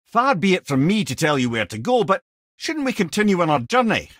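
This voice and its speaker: A witty old Scottish storyteller, a learned talking head from a fantasy video game. His delivery swings widely in pitch, wry and wordy with old-fashioned turns of phrase. The sound is close and dry. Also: very animated, fairly quick, fairly bright tone, no room sound, exaggerated voice. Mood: wry, companionable. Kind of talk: video game character dialogue, storytelling and battle callouts.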